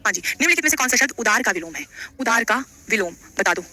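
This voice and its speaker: Bright, high-pitched, fast-talking woman teacher. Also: expressive, slight background noise. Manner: instructive, repeats phrases, addresses students affectionately with questions. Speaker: Hindi grammar teacher for exam coaching. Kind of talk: live online lesson, explaining quiz questions.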